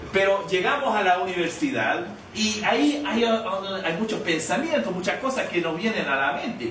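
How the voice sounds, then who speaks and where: A man with a fairly high voice preaches a sermon, telling a personal story in a halting, conversational way. He restarts and repeats words often. The recording is distant and echoey, with clear background noise. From Canada. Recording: echoey, distant, noisy room.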